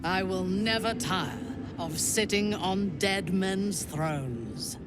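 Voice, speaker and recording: An actress playing an imperious, vengeful dark elf commander in a fantasy game, haughty and deliberate. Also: female voice, medium pitch, loud music behind the voice, lightly acted. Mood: haughty, resolute.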